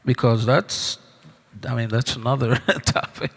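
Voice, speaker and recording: A man with a Puerto Rican accent moderating a panel, speaking haltingly and searching for his words. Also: medium pitch, steady tone, creaky, fairly bright tone. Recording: reverberant hall.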